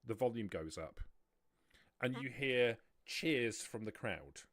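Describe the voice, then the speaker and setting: Male game master for a tabletop stream; average pitch, conversational rules talk, very little room sound. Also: lively intonation, slow, a bit bright, no echo, clean studio sound. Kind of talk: running a tabletop roleplaying livestream, casual rules talk.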